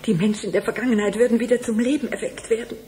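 An actress playing a dreamy, grieving middle-aged wife in a German radio drama, hesitant, wistful and often hushed. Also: female voice, on the high side, fairly even intonation, fast talker, close to an everyday voice. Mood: dreamy hope. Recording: clearly noisy background.

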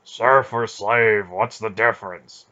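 A man's voice: on the low side, steady tone, slow pace, pushed and bright. A man voicing a blunt, burly warrior who talks in curt, contemptuous remarks, in a storybook reading. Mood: gruff. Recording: dull lo-fi audio.

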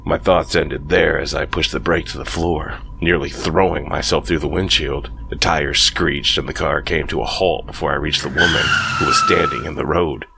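A man narrating a first-person horror story in a slow, brooding scripted read. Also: a bass voice, fairly even intonation, gravelly, noisy background.

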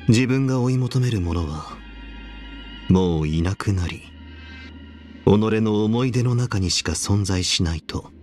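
An actor voicing a calm, dignified, wistful warrior general in a game, measured and reflective with sighs. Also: male voice, on the low side, soft background music, lightly acted. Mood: resigned, reflective. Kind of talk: scripted game character voice lines.

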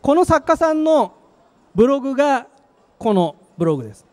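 A man's voice: very high-pitched, very animated, moderate pace, darkish tone. A middle-aged man giving a live product presentation in short, emphatic phrases into a handheld microphone. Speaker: company manager presenting a product. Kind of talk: live trade-show presentation with slides. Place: presentation stage at an exhibition venue.

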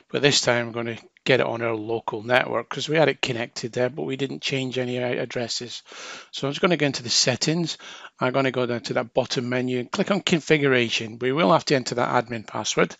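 A man's voice: mid-range voice, gravelly, a bit bright, no echo. A man giving an informal hands-on tutorial as a technical trainer, plain-spoken and going step by step.

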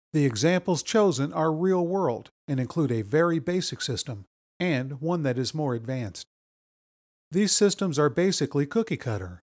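Higher-voiced man narrating a training course. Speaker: course instructor. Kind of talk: scripted instructional narration.

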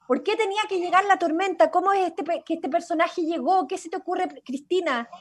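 A Chilean woman on a video call, directing a radio play workshop in an animated, encouraging way. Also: extremely high pitch, brisk. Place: indoors at home, video call. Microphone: computer mic on video call.

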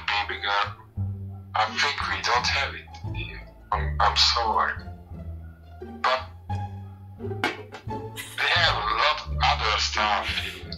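A man's voice: fairly low pitch, bright, forceful tone, low-quality recording. A young man chatting casually and affectionately on a video call, coaxing his partner. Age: young adult. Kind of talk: casual relationship chat. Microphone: video call, phone close to face, earphones.

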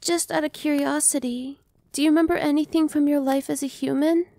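A young woman voicing a gentle female vampire who speaks softly and slowly, sometimes whispering. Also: sits high, fairly even intonation, vocal fry, no echo. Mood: soothing. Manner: soft, slow and reassuring, dropping to whispers. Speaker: voice actress. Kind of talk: ASMR roleplay.

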